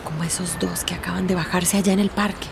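A woman's voice: on the low side, flat delivery, fast talker, gravelly, noisy background. An actress playing a nosy, gossipy older village woman, fussy and scandalized, in a radio drama. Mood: impatient, gossipy.

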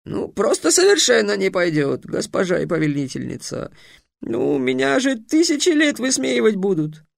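A man's voice: high voice, wildly swooping pitch, moderate pace, creaky, no echo, clean studio sound, pitched above the performer's own voice, full character voice. A man voicing a boastful, sly young male genie with a wheedling, tricky manner that turns to squealing when he is scared.

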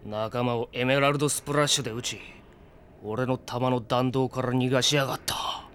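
An actor playing a cocky, greedy hired gunman in an audio drama, swaggering and rough-spoken. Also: medium pitch, little pitch movement, normal speed, fairly bright tone, slight background noise, close to an everyday voice. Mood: startled, aggressive. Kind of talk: Japanese audio drama acting.

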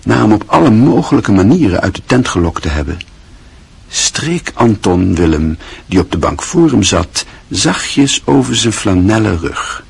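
Low husky guy narrating a radio play, literary story reading, really rough voice. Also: sing-song, measured pace.

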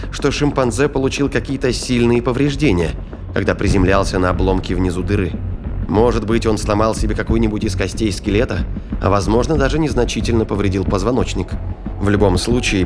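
A man narrating a scripted science-fiction audio drama. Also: lowish voice.

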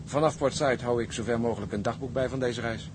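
A man's voice: mid-range voice, steady tone, quick pace, lightly acted. An actor playing a sober seaman who narrates his grim voyage diary in an audio drama. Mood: grave, brooding. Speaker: narrator and ship's officer keeping a diary. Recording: clearly noisy audio track.

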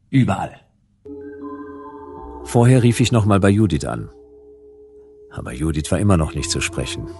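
A man playing a world-weary, dogged private detective in a sci-fi radio drama, with a husky, brooding, terse and wry delivery. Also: low-pitched, darkish tone. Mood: lonely, wry. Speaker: lead actor narrating and playing a detective. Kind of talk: German radio drama acting, first-person noir narration.

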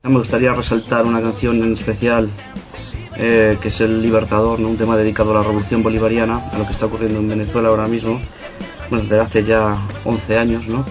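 A man's voice: fairly low pitch, monotone, fast, music in the background. A musician with an accent from Spain answering interview questions, often tagging his phrases with a little question. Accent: Spanish, from Spain. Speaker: band musician being interviewed.

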